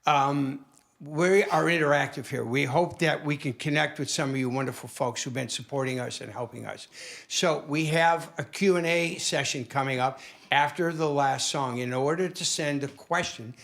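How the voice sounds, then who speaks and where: A man's voice: on the high side, moderate pace, gravelly, a bit bright. A man hosting a casual online concert stream, chatting and introducing the next guest. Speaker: show host. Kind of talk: casual livestream chat.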